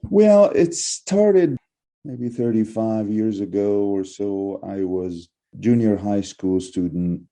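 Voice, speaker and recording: Low gravelly man. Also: warm tone, low-quality recording. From Egypt. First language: Arabic. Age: middle-aged. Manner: measured and questioning, with frequent restarts. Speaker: professor, guest. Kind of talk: reflective interview answers.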